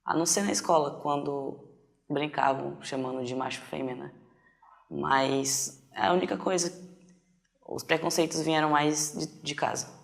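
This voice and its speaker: Young Brazilian man with a creaky voice. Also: on the high side, fairly even intonation, unhurried. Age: 20s. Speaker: documentary interviewee. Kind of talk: personal testimony, casual interview.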